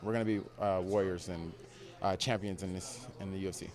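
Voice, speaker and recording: A man's voice: low-pitched, steady tone, brisk, darkish tone. A young man answering post-fight questions in terse, confident short statements. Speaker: fighter interviewed after a win. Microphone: handheld interviewer mic.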